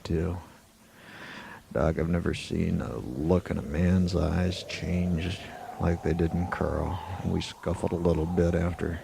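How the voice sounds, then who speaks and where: A man playing a laconic, weary frontier marshal in a Western radio drama, with a gravelly voice and a slow, halting delivery. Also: low-pitched, exaggerated voice. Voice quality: gravelly, creaky. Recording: noisy, hissy 1980s amateur tape.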